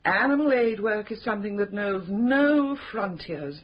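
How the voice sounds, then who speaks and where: An actress playing a prim, mischievous, scheming spinster who runs a charity, in a radio drama. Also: female voice, medium pitch, animated, a little slow. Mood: grandly earnest. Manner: arch, coy, prim and mischievous. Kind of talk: 1970s radio drama acting. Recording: dull slightly noisy radio drama recording.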